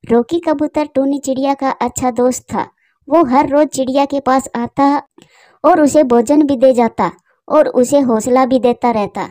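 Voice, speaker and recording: High, mellow woman storyteller. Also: a little slow, no room sound, studio quality. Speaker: narrator. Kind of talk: scripted children's story narration.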